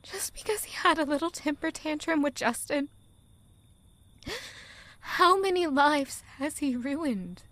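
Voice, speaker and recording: A woman's voice: high voice, lively intonation, a bit bright, no echo. A woman playing an anguished, weary young village girl in a dramatic, emotional audio drama monologue. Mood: tearful, desperate. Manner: emotional, slow, deliberate delivery with rhetorical questions.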